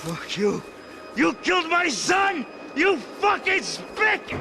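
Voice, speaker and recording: A man's voice: extremely high pitch, sing-song, slow pace, full character voice. An actor playing a menacing, foul-mouthed crime boss and father maddened by grief in a video game. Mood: raging. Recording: loud game music behind.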